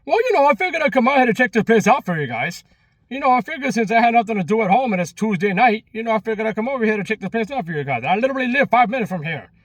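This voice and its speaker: A man's voice: sits high, expressive, rapid-fire, dry recording. A man in his thirties with a Long Island accent, rambling casually to his viewers in a chatty, emphatic way and often repeating himself. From Long Island, New York. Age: 30s. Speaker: vlogger.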